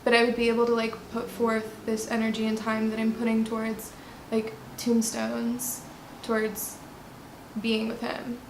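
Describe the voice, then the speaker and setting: A woman's voice: fairly high pitch, monotone, unhurried, noisy background. A twenty-two-year-old woman speaking frankly and casually in a documentary interview. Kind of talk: candid, casual interview talk. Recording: roomy echo with music behind. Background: instrumental music.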